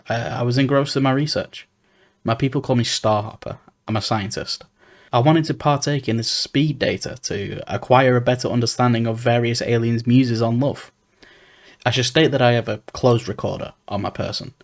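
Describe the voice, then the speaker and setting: A man's voice: medium pitch, lightly acted. A man voicing a formal, socially awkward alien scientist in an audio roleplay, with precise, analytical phrasing. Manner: stiff, precise, bookish phrasing.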